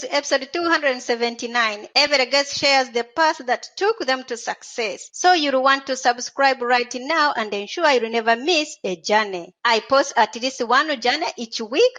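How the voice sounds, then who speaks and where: A woman's voice: high-pitched, normal speed, few pauses, fairly bright tone. A woman hosting a podcast, reading a formal scripted intro and interviewing a guest. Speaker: podcast host.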